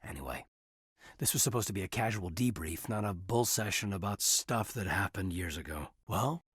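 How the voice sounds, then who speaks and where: A reserved, dutiful military man in a video game. His voice is husky and sits at a middling pitch, moving up and down expressively as he talks in a sincere, measured way. The recording is close and dry. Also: lively intonation, fairly bright tone, no echo, heavily acted. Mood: reflective, dry. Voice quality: slightly husky. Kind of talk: naturalistic video game character dialogue.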